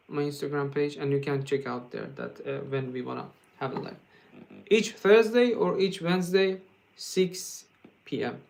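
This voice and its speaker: A man with a Persian accent, his voice sitting a little high, talks casually to viewers on a guitar livestream. He stumbles and restarts often, padding his answers with fillers, and laughs easily as he jokes. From Iran. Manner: halting, with frequent fillers and restarts. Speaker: guitar teacher hosting a livestream.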